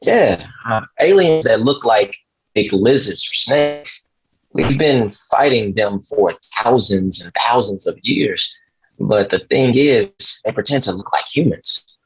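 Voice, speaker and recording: A man playing an awkward, earnest driver in a screenplay table read, speaking in a halting, gentle, explanatory way. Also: fairly low pitch, animated, husky, full character voice. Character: awkward, earnest male driver Chaz, kindly conspiracy believer. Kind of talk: table read of a film script. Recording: dull, slightly muffled audio.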